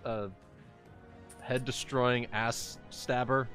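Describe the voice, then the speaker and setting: A man speaking as game master in a casual tabletop game, narrating haltingly with drawn-out pauses. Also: medium pitch, flat delivery. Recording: music playing behind the voice.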